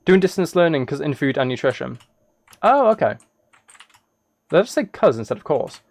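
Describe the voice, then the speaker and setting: A man chatting casually on a gaming livestream, rambling haltingly with playful, mock-serious dry humour. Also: on the high side, big pitch swings. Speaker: live streamer.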